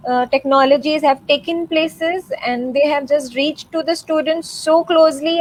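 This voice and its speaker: A woman co-hosts an online teachers' panel, supportive and quick to answer with short acknowledgments. Her voice is quite high. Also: low-quality recording. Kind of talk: conversational panel discussion.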